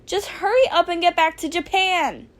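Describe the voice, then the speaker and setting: A woman voicing a bossy, foul-mouthed, meddling adult heroine with a loud, emphatic delivery in a fan fiction reading. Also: very high voice, moderate pace, voice pushed up. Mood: demanding.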